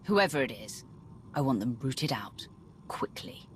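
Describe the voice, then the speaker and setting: An actress playing a cold, vengeful Sith warrior commander in a video game, menacing and commanding. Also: female voice, a bass voice, sing-song, unhurried, slight background noise, heavily acted. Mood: stern, commanding. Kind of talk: cinematic video game voice acting.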